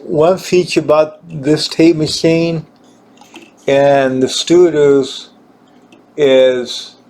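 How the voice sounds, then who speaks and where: Slow, halting man demonstrating gear. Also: a little high, steady tone, a little mellow, a little hiss or hum. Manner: halting, searching for words, repeating himself. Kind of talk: informal hands-on equipment demonstration.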